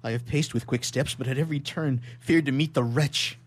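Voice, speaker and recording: An actor playing an anguished, horror-stricken young scientist in a melodramatic radio play, declaiming in a halting, overwrought way. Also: male voice, medium pitch, steady tone, close to an everyday voice. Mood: fearful, remorseful. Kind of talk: melodramatic 19th-century stage acting in radio theatre.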